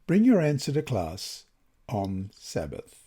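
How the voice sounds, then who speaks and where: A man reads a scripted religious lesson in a deliberate, expressive manner with measured phrasing. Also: medium pitch, slightly rough. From Australia. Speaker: reader of Bible study lessons.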